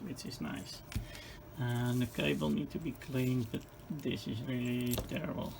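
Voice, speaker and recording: A man who is not a native English speaker gives casual hands-on repair commentary as host, halting and thinking aloud in a gravelly voice. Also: medium pitch. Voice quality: gravelly, creaky. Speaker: host of a radio restoration channel. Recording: clearly noisy home-made recording.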